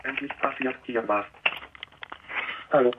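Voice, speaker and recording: Robot guy voice, computer generated, flat n choppy, dull phone sound with faint noise. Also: medium pitch. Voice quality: synthetic, halting. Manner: flat, stilted. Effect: computer speech synthesis. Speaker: conversational robot. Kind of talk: synthetic chatbot small talk. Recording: dull, noisy phone pickup.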